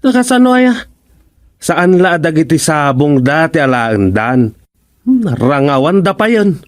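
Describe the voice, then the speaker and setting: A man's voice: on the high side, sing-song, measured pace. An actor playing a grumbling, indignant village man in a radio comedy drama.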